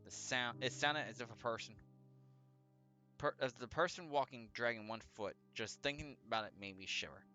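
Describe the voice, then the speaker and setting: A man's voice: medium pitch, moderate pace, bright, forceful tone, muffled recording. A man hosting a let's play, reading a visual novel aloud with casual commentary, sometimes stumbling and correcting himself, with music behind him. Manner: stumbles over read text and corrects himself. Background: background music.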